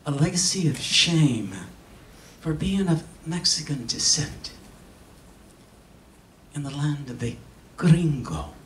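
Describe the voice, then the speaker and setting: An older man performing a dramatic reading on stage in a solemn, theatrical manner with long pauses. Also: on the high side, expressive, a bit bright. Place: stage in an auditorium. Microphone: stand microphone heard from a distant camera. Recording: echoey hall, distant camera, faint noise.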